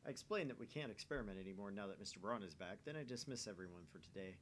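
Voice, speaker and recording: A man's voice: fairly low pitch, lively intonation, very fast, slight background noise. A man reading a visual novel aloud as a gaming channel host.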